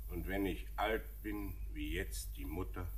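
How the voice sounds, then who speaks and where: Thoughtful middle-aged dad, slow low voice, wistful radio drama delivery. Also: male voice, slight background noise. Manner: measured, reflective. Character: thoughtful, melancholy father and mine master. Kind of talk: poetic German radio play acting.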